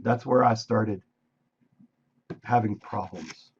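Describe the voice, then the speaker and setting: A man reviewing his day's trades on a live stream, casual and thinking aloud, with a voice that is going hoarse. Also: lowish voice, slow pace, highs cut off, a little hiss or hum. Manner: conversational, thinking aloud, frequent "right?". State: losing his voice, coughing. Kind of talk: casual screen-share trade recap. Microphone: desk microphone.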